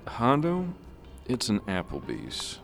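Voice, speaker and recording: A man's voice: on the low side, huge pitch swings, takes it slowly, gravelly, faint music behind, full character voice. A man playing an exasperated, sarcastic trick-or-treater who grumbles his way through a comedic radio play. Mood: grumbling.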